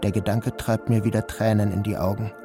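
An actor narrating as a guilt-ridden young man in a literary radio play, in a subdued, measured way. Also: fairly low pitch, flat delivery, over music, lightly acted.

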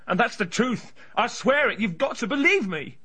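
An actor in a radio drama playing a surly, hot-tempered, frightened British bully with blunt, colloquial speech. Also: male voice, very high voice, expressive, normal speed, pushed and bright, slightly rough, exaggerated voice. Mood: desperate pleading.

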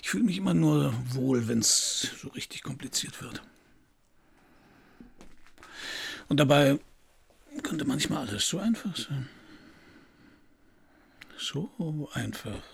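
An actor playing a weary, flirtatious middle-aged private detective in a radio crime drama, speaking in a laconic, dry, intimate way with a gravelly, husky voice. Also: male voice, fairly high pitch, expressive, measured pace, pauses often, slight background noise, full character voice. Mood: tender, wry. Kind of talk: German radio crime drama, dialogue and first-person narration.

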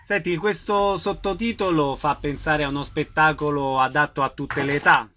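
A man's voice: fairly high pitch, steady tone, normal speed, pushed and bright. A man hosting a conversational radio interview in an informal, affirming way.

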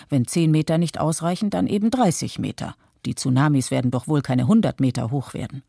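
Measured critical commentator read by a performer, radio play monologue, mellow n dry sound, kinda argumentative. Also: medium pitch, creaky, breathy edge, no room sound. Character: critical, reflective Japanese commentator on nuclear policy.

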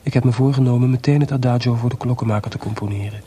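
A man's voice: medium pitch, steady tone, racing, dark tone, close to an everyday voice. A man playing a composer in a radio play, voicing weary, tender and bitter letters in an intimate, reflective way. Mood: melancholy longing. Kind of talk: radio play monologue, letters read aloud.

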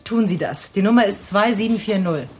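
A woman's voice: medium pitch, fairly quick, background noise, natural voice. An actress playing an irritable, self-possessed middle-aged woman doctor in a naturalistic radio drama, speaking formally and curtly. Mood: angry, impatient. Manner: curt, formal, reserved.

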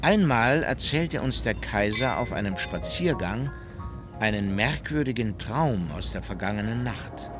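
A man playing a sober chronicler in a radio drama, narrating in a formal, measured way. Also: lowish voice, expressive. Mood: recounting. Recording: music behind the voice.

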